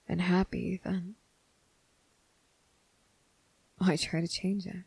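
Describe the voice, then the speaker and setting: A woman performs a guilt-ridden young male vampire in an intimate ASMR roleplay. The voice is lowish and very creaky, with a narrow, subdued range. He speaks hesitantly and earnestly, as if pleading to be believed. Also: a little mellow, dry recording, heavily acted. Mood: gentle, reassuring. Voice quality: breathy, husky, gravelly.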